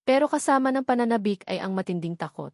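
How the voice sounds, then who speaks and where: A woman narrating a scripted romance story in a measured, dramatic storytelling manner. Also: fairly high pitch, animated, no echo, clean studio sound.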